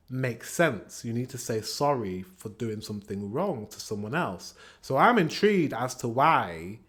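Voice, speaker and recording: British guy chatting casually to his viewers, opinionated, normal pitched voice. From England. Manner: chatty, acts out example exchanges. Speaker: reaction video host.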